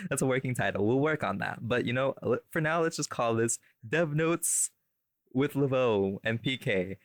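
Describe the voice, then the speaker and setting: A man hosts an unscripted podcast in a mid-pitched voice with a dry, close sound. He rambles and banters, often correcting himself and putting on a playful show-host formality. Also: expressive, a little slow, no echo. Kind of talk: casual unscripted podcast chat, playful mock-formal introductions.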